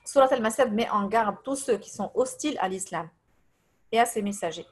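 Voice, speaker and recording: A woman from Tunisia whose first language is Arabic, giving a religious lesson in French, hesitating often with fillers, reading translations and reciting verses quickly. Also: medium pitch. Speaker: religious teacher. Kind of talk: religious lesson over voice messages.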